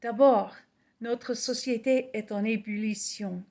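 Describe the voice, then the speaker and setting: Slow earnest woman preacher. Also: on the high side, fairly even intonation, dark tone. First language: English. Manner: earnest, measured, reading aloud. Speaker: evangelist. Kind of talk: slow scripted gospel message.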